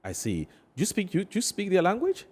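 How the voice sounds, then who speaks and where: A man with a Ghanaian accent hosting a studio interview, phrasing things haltingly and often repeating himself. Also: high voice, wildly swooping pitch, a little mellow, breathy edge. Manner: hesitant phrasing, repeats questions back. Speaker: TV talk show host.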